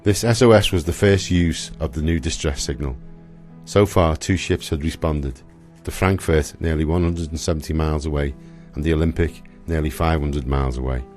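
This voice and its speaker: A man's voice: very deep voice, normal speed, a little mellow, soft background music. A man giving dramatic scripted narration for a historical radio play.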